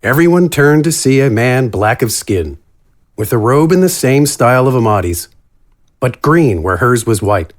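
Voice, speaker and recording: A man's voice: medium pitch, sing-song, moderate pace. A man reading scripted narration for a fantasy story.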